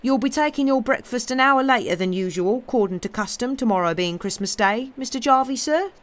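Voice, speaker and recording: A woman's voice: fairly high pitch, animated, fairly quick, voice pushed up, close to an everyday voice. A woman voicing a polite, cheery serving man in a storybook, deferential and lilting. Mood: dutiful.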